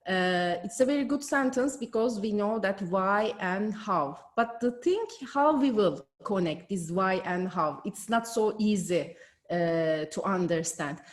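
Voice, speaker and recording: A woman's voice: medium pitch, expressive, unhurried. Turkish-accented woman giving keynote. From Turkey. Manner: careful, frequent hesitations. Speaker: keynote speaker. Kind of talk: online conference keynote. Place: home.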